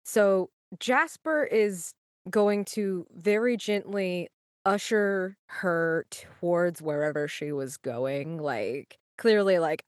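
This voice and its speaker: A woman's voice: deep voice, no room sound, studio quality. A woman chatting casually and narrating her character in a tabletop roleplaying game.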